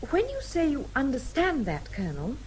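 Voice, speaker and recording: Lively, spirited Englishwoman. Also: high-pitched, normal speed, slight background noise. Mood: guarded, defiant. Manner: polite, direct, questioning. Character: spirited, self-assured English young woman, diplomat's sister. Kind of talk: British radio drama acting.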